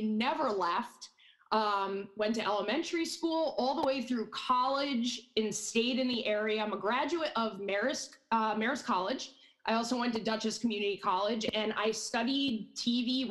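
A woman in her thirties from the Hudson Valley in New York, a podcast guest who chats casually with quick, jokey asides. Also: on the high side, a bit bright, poor audio. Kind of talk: casual interview chat.

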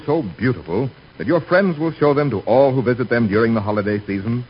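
A man's voice: medium pitch, husky. A man working as a radio announcer, reading scripted advertising with a polished, persuasive 1940s sales delivery. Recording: dull 1940s radio recording.

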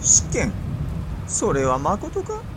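An actor playing an imperious, impatient and suspicious medieval Japanese ruler in a period audio drama, with theatrical, drawn-out emphasis. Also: male voice, high voice, wildly swooping pitch, heavy background noise, exaggerated voice. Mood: imperious, displeased. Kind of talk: period radio drama acting in archaic speech.

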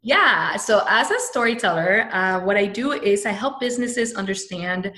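A woman's voice: mid-range voice, lively intonation, normal speed, sharp tone. A woman from Venezuela, a brand storyteller, giving an upbeat podcast interview with many fillers and restarts. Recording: echoey room.

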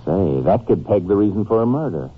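An actor playing a steady, businesslike Texas Ranger in a 1950s radio drama, with a direct, matter-of-fact American delivery. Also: male voice, fairly low pitch, a little fast, slightly rough. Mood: determined. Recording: muffled, narrow-band old radio recording.

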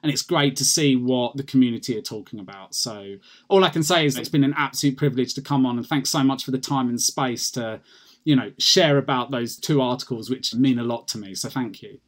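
A British man, a guest patient advocate, talking in an energetic, passionate way with many restarts in a casual podcast interview. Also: mid-range voice, a little fast. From United Kingdom.

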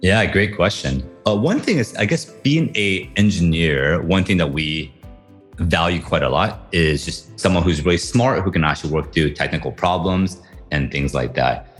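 A man's voice: low voice, lively intonation, normal speed, faint music behind. A man from Taiwan and the US answering interview questions conversationally, with frequent fillers. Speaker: guest author.

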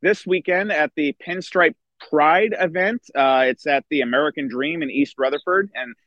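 A middle-aged man, a baseball writer guesting on a podcast, chatting enthusiastically outdoors with a hoarse voice and many restarts. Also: a little high, normal speed, a bit bright, dry recording, highs cut off. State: hoarse from screaming at a concert. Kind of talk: casual podcast chat. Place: outdoors by a river.

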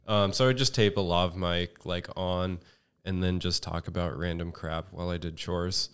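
Low, smooth, hesitant man in casual chat. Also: moderate pace. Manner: hesitant, many ums, self-described monotone. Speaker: podcast guest.

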